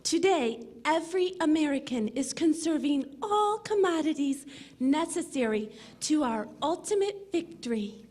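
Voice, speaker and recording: A woman's voice: sits high, expressive, unhurried, darkish tone. A woman playing an earnest 1940s radio announcer, reading scripted sponsor messages.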